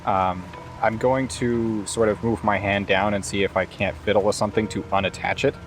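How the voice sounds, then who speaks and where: Low-voiced man playing a tabletop game. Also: fairly even intonation, moderate pace, faint music behind. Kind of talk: casual in-character game play talk.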